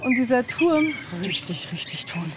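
A woman's voice: a little high, very animated, moderate pace. A woman chatting casually and with marvelling enthusiasm during an outdoor tour. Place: outdoors at a castle ruin. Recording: outdoor field recording with faint background noise.

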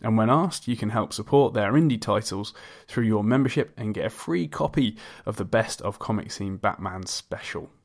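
A man's voice: on the low side, expressive, normal speed, gravelly, hardly any pauses. A man hosting an interview podcast, speaking in a friendly, conversational presenting style. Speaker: podcast host interviewing a comic creator. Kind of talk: scripted podcast intro and friendly interview chat.

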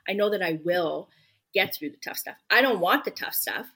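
A woman's voice: on the low side, expressive, moderate pace, vocal fry, bright, forceful tone, breathy edge. A woman author being interviewed, speaking earnestly and emphatically, often saying "you know". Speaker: guest author. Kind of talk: podcast interview answers.

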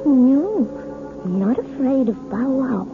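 Entranced woman gone childlike, high voice, slow, halting, tinny old radio sound. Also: expressive, over music, full character voice. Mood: dreamy. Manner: dreamy and halting, childlike, tearful. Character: hypnotized woman regressing to a scared girl. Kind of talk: 1970s radio drama acting.

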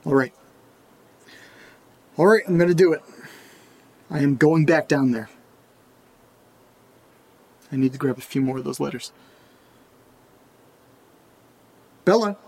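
A man acting as an uneasy, curious character alone at night in a creepy house, in a halting audio drama monologue, muttering to himself with a husky voice. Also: on the high side, a little mellow. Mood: nervous resolve.

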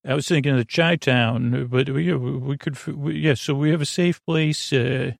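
A man with a creaky voice hosting a sleep podcast, meandering haltingly and goofily through a bedtime monologue and often interrupting himself. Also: medium pitch, fairly even intonation, no room sound, clean studio sound. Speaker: podcast host. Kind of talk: rambling bedtime monologue to help listeners sleep.

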